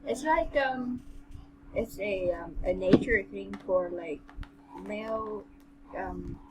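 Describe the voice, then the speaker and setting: A woman's voice: medium pitch, slow pace, crowd noise, rough recording. A woman co-hosting a casual read-along podcast, talking in an earnest, rambling, hesitant way as she reads and comments. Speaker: podcast co-host reading a novel aloud. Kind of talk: casual chat and amateur read-aloud with commentary.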